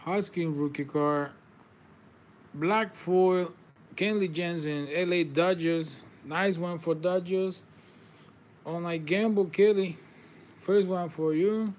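A man's voice: high voice, steady tone, slow, long pauses, a little mellow. A man, whose first language is Spanish, hosts a live trading card break, calling out players and congratulating viewers in slightly non-native phrasing. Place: indoor table with card boxes.

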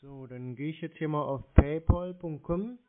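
A man talking casually on the phone as a customer, acting a little slow and naive. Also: fairly high pitch. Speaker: prank caller posing as customer. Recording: narrow phone-quality sound.

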